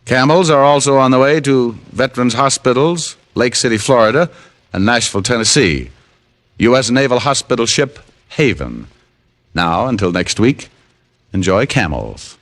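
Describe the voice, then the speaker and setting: A male radio spokesman with a mid-pitched, lively voice. He reads a scripted sponsor message in vintage broadcast style. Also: moderate pace.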